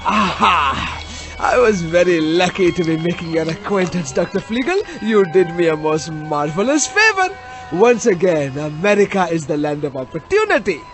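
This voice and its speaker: An actor playing a gleeful vampire cab driver with an Indian accent and a theatrically polite, menacing manner in a horror-comedy radio drama. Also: male voice, sits high, sing-song, normal speed, music in the background. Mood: gleeful, savoring. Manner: theatrically polite, savoring each menacing phrase.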